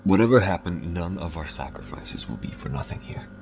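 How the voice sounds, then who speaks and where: A man playing a gruff, devoted alien smuggler in an intimate audio roleplay, speaking haltingly, tenderly and often hushed, with a deep gravelly voice. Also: lively intonation, slight background noise. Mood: relieved, determined.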